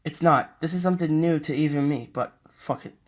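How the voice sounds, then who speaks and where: A man's voice: a little high, brisk, close to an everyday voice. A man playing a cocky, youthful immortal demon fighter in an amateur audio drama, with a wry, swaggering delivery. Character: cocky centuries-old male demon fighter who looks twenty-one.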